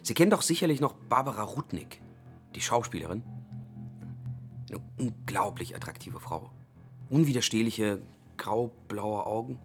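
A man's voice: fairly low pitch, lively intonation, normal speed, pauses often, soft background music. An actor playing a wistful, reflective middle-aged man reminiscing in a radio drama.

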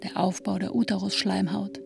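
A woman playing an introspective woman longing for a child, speaking in a measured, hushed radio drama monologue. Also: medium pitch, flat delivery, vocal fry, music in the background. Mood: pensive, restless. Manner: measured, hushed, addressing the listener as "you".

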